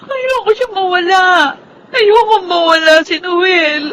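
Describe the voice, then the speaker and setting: A woman's voice: extremely high pitch, animated, measured pace, fairly bright tone, a little hiss or hum, heavily acted. An actress playing a lovesick, clinging young woman, emotional and pleading, in radio melodrama style. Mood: crying.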